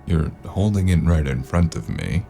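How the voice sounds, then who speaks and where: A weary noir investigator with an extremely deep voice full of gravel. He speaks in a dry, sardonic deadpan, as in a radio detective drama. Music plays clearly behind him. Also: moderate pace, exaggerated voice. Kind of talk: noir audio drama acting and first-person narration.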